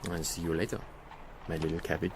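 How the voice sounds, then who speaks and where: An actor playing a genial, teasing French army captain in a radio drama, with a French accent. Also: male voice, low-pitched, little pitch movement, fast, close to an everyday voice. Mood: affable.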